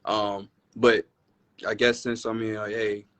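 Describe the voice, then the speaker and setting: A man with a deep voice, a musician answering interview questions in a relaxed, reflective way. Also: measured pace, clean tone. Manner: relaxed, reflective, says "you know" often.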